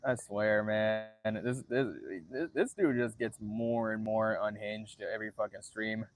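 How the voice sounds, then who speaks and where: A man chatting casually on a livestream in a monotone voice, halting often as he restarts phrases and swearing freely. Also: lowish voice. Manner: frequent swearing. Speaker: livestreamer answering viewer chat.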